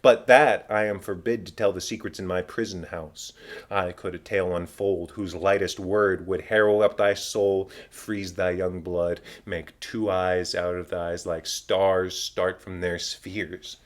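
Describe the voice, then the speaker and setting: A man voicing the ghost of a murdered king, a solemn, tormented, vengeful father declaiming in drawn-out phrases in a Shakespeare audiobook. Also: sits low, little pitch movement. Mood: solemn, ominous. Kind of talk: dramatic Shakespeare audiobook reading.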